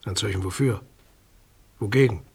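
An actor playing a state prosecutor in a radio crime drama, speaking in a measured, bureaucratic and somewhat condescending way. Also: male voice, fairly low pitch, vocal fry, a little mellow, slightly rough, exaggerated voice. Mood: dry, sceptical. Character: dismissive, formal male state prosecutor defending his findings.

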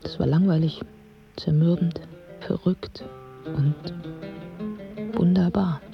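Young iraqi woman, reflective and wry, intimate radio play narration, guitar music clearly behind her. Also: low voice, steady tone, slow, highs cut off. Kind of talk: first-person radio drama narration.

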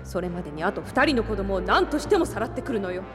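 A woman's voice: mid-range voice, lively intonation, fast, natural voice. A woman playing a vain, seductive and cruel demon villainess in an audio drama, with an imperious, sultry manner. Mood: scheming. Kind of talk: Japanese audio drama acting. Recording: long reverb, music behind.

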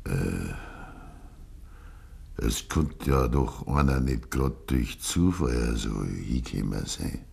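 An actor playing a stubborn, grieving old farmer with a Bavarian accent and a gruff, deliberate manner, in a 1950s German dialect radio play. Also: male voice, extremely low pitch, lively intonation, darkish tone, exaggerated voice. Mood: brooding, insistent. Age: older. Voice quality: gravelly, husky.

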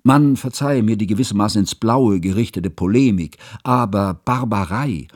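Low-voiced, earnest scholarly chronicler played by an actor. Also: male voice, no echo, clean studio sound, close to an everyday voice. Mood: impassioned, indignant. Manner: formal, pedantic, measured diction. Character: earnest, learned male chronicler, mournful and scholarly. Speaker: narrator. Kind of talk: literary radio drama narration.

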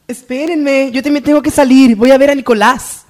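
A woman playing an expressive, meddling young woman in a parody radio soap. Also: high voice, normal speed, soft background music, lightly acted. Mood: animated.

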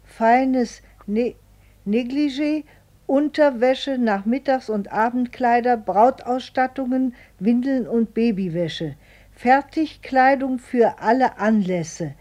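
An actress playing a postman's wife who reads a letter aloud slowly and deliberately in a radio play. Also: a little high, warm tone. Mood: reading. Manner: slow, deliberate reading aloud.

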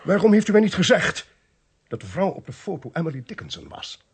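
An actor playing a smug, sly, domineering government investigator in a Dutch radio play, pompous and precise. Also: male voice, high-pitched, very animated, brisk, breathy edge, heavily acted. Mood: stern, commanding.

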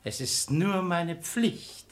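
Pious hypocrite, high voice, very lively intonation, smug, radio verse drama. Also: male voice, slow. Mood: pious, unruffled. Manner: pious, unctuous. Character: sanctimonious male hypocrite and swindler, smugly self-righteous. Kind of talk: radio play acting in verse.

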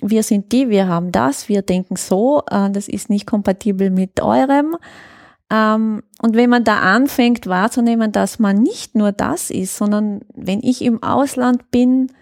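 A woman's voice: mid-range voice, unhurried, a little mellow, no echo, clean studio sound. A woman explains things thoughtfully in a conversational interview, often searching for words. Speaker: interview guest, youth worker.

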